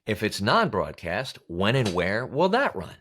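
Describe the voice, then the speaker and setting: A man's voice: on the low side, wildly swooping pitch, unhurried, fairly bright tone, slight background noise. A man giving scripted advice as a coach, in an emphatic, blunt, colloquial manner. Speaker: voice-over coach hosting an advice show. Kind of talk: scripted instructional talk to camera.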